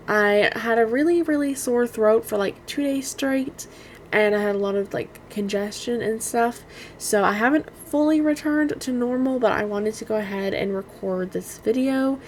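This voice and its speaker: A young woman giving a casual spoken game review, her voice hoarse and strained after allergies, quiet and unable to get louder, rambling with many fillers. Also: on the high side, unhurried, slight background noise.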